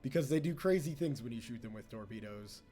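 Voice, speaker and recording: Lively, rambling young man with a mellow voice. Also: medium pitch, brisk, soft background music. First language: English. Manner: rambling, self-mocking, talks to the game. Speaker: let's play host. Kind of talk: casual gameplay commentary.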